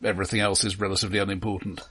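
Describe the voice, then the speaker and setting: A man co-hosting a casual film discussion, explaining points in a measured, informative way. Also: low voice, level tone, pushed and bright, breathy edge. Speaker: podcast co-host reviewing films.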